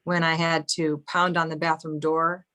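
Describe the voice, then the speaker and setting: A woman's voice: low-pitched, flat delivery, normal speed, bright, forceful tone. A woman on a panel, telling stories casually over a video call, full of "you know" and wry asides.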